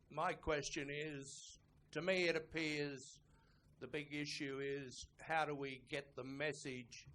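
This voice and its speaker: An insistent, earnest man asks a question from the audience. His voice is fairly high for a man and sharply bright, recorded live in a room with some light noise. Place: indoor conference session.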